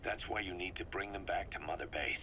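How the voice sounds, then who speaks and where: Deep, fervent mercenary second-in-command. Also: male voice, brisk, sharp tone. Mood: firm, cautioning. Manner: persuasive, emphatic, speechifying. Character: fervent, embittered male mercenary second-in-command briefing his boss. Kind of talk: video game dramatic dialogue. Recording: noisy cassette briefing with background rumble.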